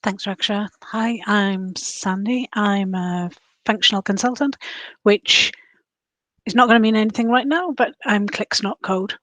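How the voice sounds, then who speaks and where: A woman from Wales, a functional consultant, introducing herself on a video call in a halting way. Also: mid-range voice, a bit bright, no echo.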